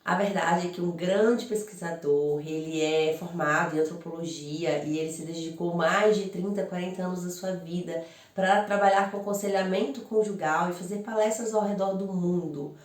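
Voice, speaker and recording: A woman's voice: on the low side, steady tone, moderate pace. Brazilian woman explaining, from far off. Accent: Brazilian Portuguese. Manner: friendly, chatty, uses rhetorical questions. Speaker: couples psychologist. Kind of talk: informal explanatory talk to camera. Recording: roomy echo, voice from far off.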